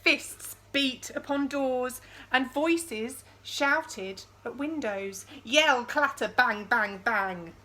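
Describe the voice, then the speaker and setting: A woman reading a picture book aloud to young children in an expressive storytelling style, with chatty questions to the listeners. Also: sits high, extremely slow. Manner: addresses young listeners with questions and dramatised sound words.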